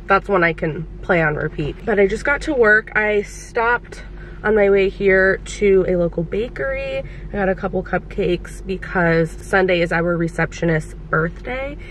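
A woman's voice: medium pitch, measured pace, slight background noise. Chatty young woman vlogging to camera. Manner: chatty, rambling, frequent "like" fillers. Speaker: hairstylist and vlogger. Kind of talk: casual vlog chat to camera. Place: small home rooms, then parked car. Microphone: handheld camera at arm's length.

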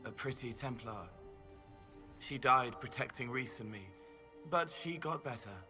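An actor playing a soft-spoken, haunted young man in a video game, earnest and solemn in simple phrases. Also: mid-range voice, animated, a little slow, soft background music. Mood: quietly grave.

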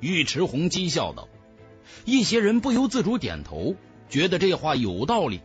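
A man's voice: fairly high pitch, wildly swooping pitch, moderate pace, husky, faint music behind. A man narrating a fantasy novel as a scripted audiobook, with emphatic, dramatic storytelling.

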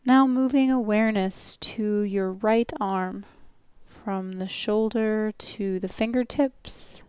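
Slow, smooth-voiced woman guiding relaxation. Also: medium pitch, steady tone, no room sound. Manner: soothing, gentle, unhurried instruction with long pauses. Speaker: yoga nidra instructor. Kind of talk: guided relaxation meditation.

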